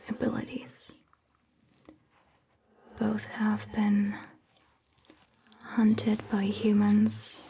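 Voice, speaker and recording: A woman playing a gentle, reassuring vampire doctor in a whispered, breathy ASMR roleplay, soothing and patient. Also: mid-range voice, slight background noise. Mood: calm, explaining. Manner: slow, soothing, patient explaining.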